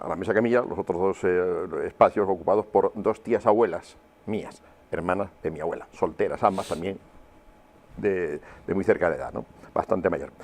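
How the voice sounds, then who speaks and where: Very deep-voiced older man. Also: a little mellow. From Huelva, Andalusia, Spain. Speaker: interview guest. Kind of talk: personal testimony in conversation.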